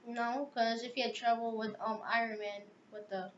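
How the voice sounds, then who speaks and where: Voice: mid-range voice, little pitch movement, moderate pace. A speaker with a light voice giving brief, casual, hesitant replies in conversation. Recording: quiet, distant, muffled, echoey room.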